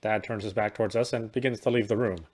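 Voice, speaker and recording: A man's voice: fairly low pitch, steady tone, fast, darkish tone. A man reading a visual novel's narration and dialogue aloud over game music. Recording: music playing behind the voice.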